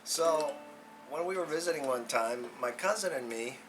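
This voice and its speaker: A man in his sixties telling childhood memories in a casual, folksy, rambling and animated way, as a storyteller dad. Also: a little high, moderate pace, music in the background, low-quality recording. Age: 60s.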